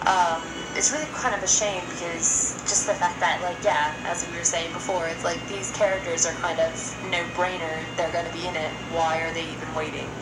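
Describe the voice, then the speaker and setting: A woman with a fairly low voice talks through her opinions on a casual podcast. She sounds earnest and exasperated as she complains. The room has a clear echo, and loud music plays behind her. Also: steady tone, brisk, hardly any pauses. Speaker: podcast co-host. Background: loud background music.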